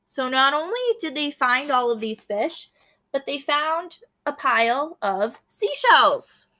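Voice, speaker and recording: A woman's voice: a little high, sharp tone. A woman schoolteacher reading a children's book aloud and explaining it directly to her pupils. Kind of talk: children's read-aloud with teaching commentary.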